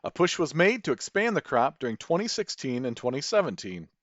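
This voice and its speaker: A man with a Midwestern American accent hosts a podcast from a script. His fairly high voice swings up and down in a lively way, with careful, folksy phrasing. The recording is dry and slightly dull. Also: brisk, dry recording. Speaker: podcast host. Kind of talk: scripted narration.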